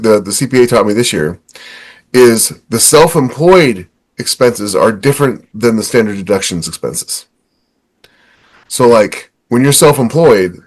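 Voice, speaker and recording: A man's voice: medium pitch, poor audio. A man in his fifties giving casual, emphatic, explanatory advice in a conversational chat. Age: 50s. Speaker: podcast co-host.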